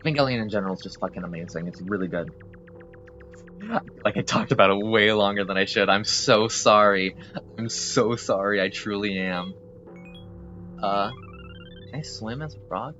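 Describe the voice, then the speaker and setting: A man's voice: lowish voice, normal speed, pauses often, sharp tone, muffled recording, faint music behind. A man rambling casually and apologetically while playing a video game, full of fillers and restarts. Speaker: gameplay video host. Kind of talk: rambling casual commentary over gameplay.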